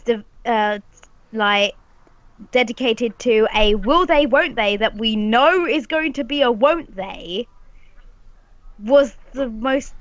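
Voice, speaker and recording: Sniffly high-voiced woman, gushing fan. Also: fairly bright tone, no echo. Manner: gushing, emphatic fan talk. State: sniffly from a cold. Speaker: podcast guest. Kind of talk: casual chat. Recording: dull lo-fi video call audio.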